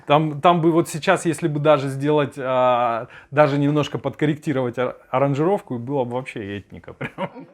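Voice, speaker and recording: Middle-aged man talking haltingly, mid-pitched. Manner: halting, searching for words. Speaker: songwriter and sound director. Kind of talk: casual interview talk.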